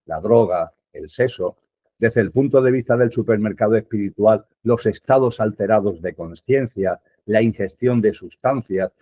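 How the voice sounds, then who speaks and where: A man giving a calm spiritual talk, teaching through rhetorical questions. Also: fairly low pitch, slightly rough, dry recording. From Spain. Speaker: spiritual speaker. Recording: dull lo-fi sound.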